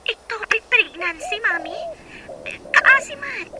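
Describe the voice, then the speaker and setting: A woman's voice: very high-pitched, animated, takes it slowly, full character voice. A Filipino woman acting in a radio drama as a concerned grown daughter and protective sister, questioning anxiously. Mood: alarmed.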